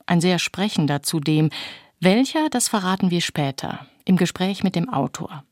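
A woman presenting a literary radio programme in an articulate, measured, scripted way, close to a studio microphone. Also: fairly low pitch, lively intonation. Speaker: radio host and interviewer.

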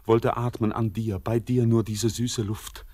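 An actor playing a haunted young miner, torn and feverish, speaking in a hushed, anguished manner in a classic radio play. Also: male voice, on the low side, flat delivery, measured pace. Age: young adult. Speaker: Elis, the miner.

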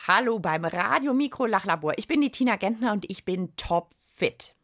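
A woman's voice: mid-range voice, animated, normal speed, a bit bright. A woman hosting a children's podcast, playful, teasing and encouraging in casual chat. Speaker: children's podcast host and reporter.

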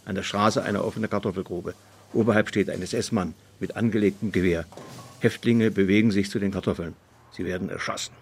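A man's voice: fairly low pitch, steady tone, brisk, slight background noise. A man reading a scripted diary-like report in a terse, matter-of-fact manner. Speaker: reader.

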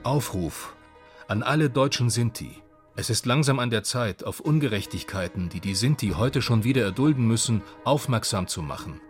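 A man reading documents aloud in a slow, solemn way. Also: medium pitch, animated, faint music behind. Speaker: quote reader.

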